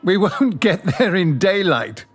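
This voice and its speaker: Audio drama role: eager, pedantic fiancé. High voice, measured pace, theatrical and playful. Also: male voice, big pitch swings, soft background music, heavily acted. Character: younger Alec, eager, besotted bridegroom, pedantic and playful.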